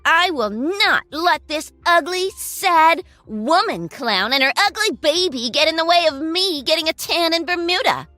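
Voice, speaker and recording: A woman's voice: sits high, sing-song, normal speed, pushed and bright, dry recording, faint music behind, pitched above the performer's own voice, exaggerated voice. A woman voicing a haughty, cruel young woman who shrieks insults. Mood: scornful.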